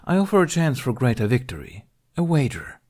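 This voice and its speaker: A man voicing a calm, cunning knight who bargains in a measured, polite, wry way in a story reading. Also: mid-range voice, voice pushed up. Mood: calm challenge.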